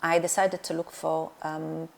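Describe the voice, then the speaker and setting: This is a middle-aged woman with a Polish accent and a low voice that has a gravelly edge. She talks about her work as a dentist in an interview, pausing often with fillers and restarting her sentences. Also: level tone. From Poland. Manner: hesitant, many fillers and restarts. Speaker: dentist and practice owner. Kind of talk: interview answers.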